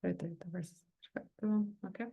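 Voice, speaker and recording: A woman's voice: fairly low pitch, steady tone, fast talker, gravelly, phone-quality sound. A woman leading a training session over a video call, chatty, with lots of fillers and "right?". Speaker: workshop facilitator.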